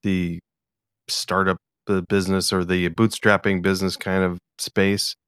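A man from the US Pacific Northwest with an American accent, hosting a casual podcast interview in a halting, rambling way. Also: deep voice, level tone, fairly bright tone, smooth, no echo, clean studio sound. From US Pacific Northwest, eastern Washington. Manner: halting, rambling, hesitant delivery.

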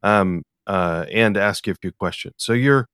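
A man from the US Pacific Northwest with an American accent, hosting a casual podcast interview in a halting, rambling way. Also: low voice, a bit bright, smooth, no echo, studio quality. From US Pacific Northwest, eastern Washington. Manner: halting, rambling, hesitant delivery.

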